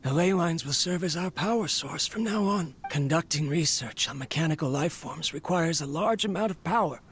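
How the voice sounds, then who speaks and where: An actor playing a weary, lonely inventor, reflective and earnest, in a dramatic video game scene. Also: male voice, on the high side, normal speed, fairly bright tone, slight background noise. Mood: earnest, uneasy. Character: weary, lonely male inventor talking to his robot.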